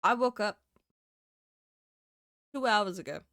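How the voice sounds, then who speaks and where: A woman's voice: mid-range voice, expressive, slow, fairly bright tone, no room sound, studio quality. A woman streaming live, chatting casually with many fillers and giggles, a bit sniffly from a cold. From Southern Hemisphere. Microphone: desk microphone.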